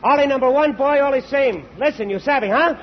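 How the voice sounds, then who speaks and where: A very high, straining male bark over a crowd, a bossy ship's hand. He throws out choppy pidgin orders on muffled vintage radio. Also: brisk, slight background noise. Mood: bossy urgent. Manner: shouted, choppy pidgin commands. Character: barking ship's hand herding passengers in pidgin. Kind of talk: old-time radio drama acting.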